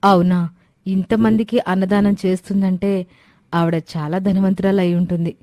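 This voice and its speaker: A woman's voice: medium pitch, level tone, normal speed, warm tone, dry recording, close to an everyday voice. A woman voicing a discouraged, anxious young orphan in a moral story. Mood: curious. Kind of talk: Telugu moral story character acting.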